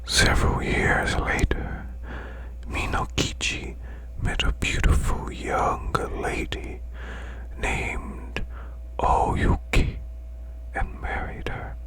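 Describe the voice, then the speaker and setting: A performer voicing a male demon boss who tells bedtime tales in ASMR style, in a hushed, breathy, growling whisper with slow, deliberate delivery. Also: poor audio. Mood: hushed, eerie.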